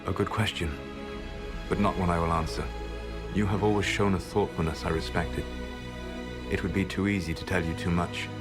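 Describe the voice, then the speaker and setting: A man voicing an ancient elven trickster god in a video game, grave, proud and regretful, speaking in measured, formal phrases. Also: low-pitched, steady tone, over music, natural voice. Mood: resolute, regretful. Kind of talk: dramatic video game dialogue.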